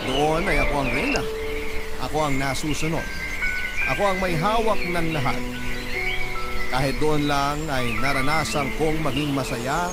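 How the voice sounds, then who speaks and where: Male radio drama narrator, fairly high voice, scripted first-person letter reading, prominent music bed. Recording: loud music bed under voice. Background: loud music.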